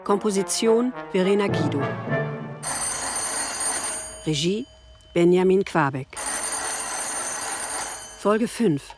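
Female radio-play announcer, scripted credits, medium pitch, very prominent music. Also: lively intonation, slow, pauses often. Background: loud brass music.

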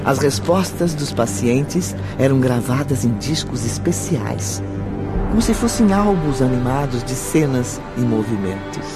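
An actor as the solemn narrator of a spiritual radio drama, speaking formal Portuguese dramatically with a Brazilian accent. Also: male voice, mid-range voice, lively intonation, a little slow, loud music behind the voice. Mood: marveling, explanatory. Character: solemn male storyteller of a spiritual tale.

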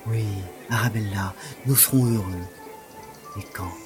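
An actor playing an earnest, self-dramatizing gentleman lover in a French audio drama, speaking in a formal, literary way. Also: on the low side, a little slow, over music, close to an everyday voice. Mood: anguished, solemn.

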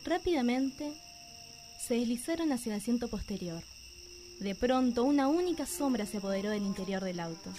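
A woman with an Argentine accent narrating a radio drama in a measured, suspenseful storytelling manner. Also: fairly high pitch, animated, noisy background. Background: music.

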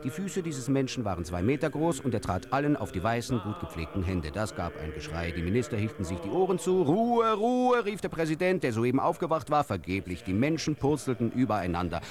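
A man narrating absurd short tales for a radio drama in a theatrical, expressive way. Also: medium pitch, fairly quick, few pauses, crowd noise. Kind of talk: scripted radio storytelling.

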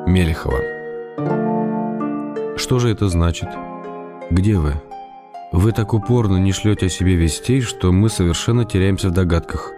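An actor playing a playful, courteous male writer who reads his affectionate letters in a measured, teasing way for a radio play. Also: low-pitched, close to an everyday voice. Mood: teasing, wry. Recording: radio drama with clear music behind.

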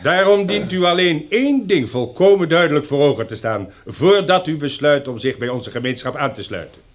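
An actor playing a stern, pompous community leader who lectures in formal, measured oratory in a radio drama. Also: male voice, a little high, animated. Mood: solemn, commanding. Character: stern, pompous male leader lecturing survivors on new rules.

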